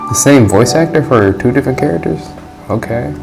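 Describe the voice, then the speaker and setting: A man's voice: medium pitch, expressive, fairly quick, vocal fry, poor audio. A man giving casual, slangy reaction commentary while playing through a game. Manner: slangy, awed exclamations. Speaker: gamer reacting to a story quest. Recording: loud game music behind the voice. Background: game music.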